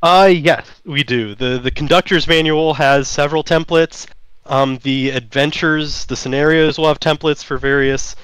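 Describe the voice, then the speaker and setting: A man's voice: fairly high pitch, fairly even intonation, normal speed, fairly bright tone, clean tone, no room sound, low-quality recording. A man whose first language is German, explaining his tabletop game in an interview, with many fillers and restarts. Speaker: game designer guest. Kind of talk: interview answers.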